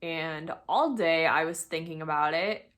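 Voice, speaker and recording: A woman's voice: low-pitched, measured pace, fairly bright tone. A young adult woman telling a personal story in a chatty, informal, self-deprecating way, slipping into bits of quoted dialogue. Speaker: storytime vlogger.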